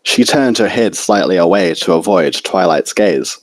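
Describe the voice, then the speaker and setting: Low-voiced man reading a story. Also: expressive, moderate pace, dry recording, poor audio. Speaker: fan fiction reader. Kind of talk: scripted story narration.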